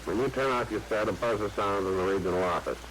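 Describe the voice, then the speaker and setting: A low, deep-voiced official explains absurd things with total deadpan calm. He sounds like a bureaucrat from an old radio comedy sketch, with faint hiss in the background. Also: fast, low-quality recording. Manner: deadpan, matter-of-fact. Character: male glow-light official, smug deadpan bureaucrat.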